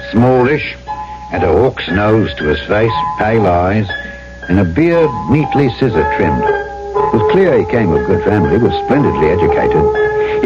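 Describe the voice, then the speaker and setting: Earnest, courteous radio-drama trader, dull recording. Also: male voice, lowish voice. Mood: reflective, grim. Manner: polite, measured, storytelling. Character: earnest, courteous male trader newly arrived on island, narrator. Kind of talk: old-time radio drama acting and narration. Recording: dull old radio recording, music behind.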